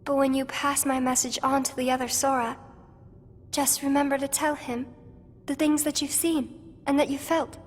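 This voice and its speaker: A woman as a gentle, high-voiced, wistful girl. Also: flat delivery, moderate pace, faint music behind, close to an everyday voice. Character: gentle, wistful teenage girl, softly solemn. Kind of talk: video game cutscene acting.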